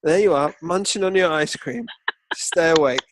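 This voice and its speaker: A man's voice: a little high, normal speed, noisy background. A man co-hosting a football podcast, telling stories in theatrical, mock-indignant, sweary banter. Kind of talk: comic storytelling banter.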